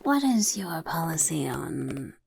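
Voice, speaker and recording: Woman doing a sly goblin overlord, whispered, gravelly, slow, swooping pitch; soft-spoken ASMR roleplay. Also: big pitch swings, exaggerated voice. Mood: scheming. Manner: whispery, speaks of self in third person. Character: goblin overlord of a chaos horde, sly and self-important. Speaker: interviewer.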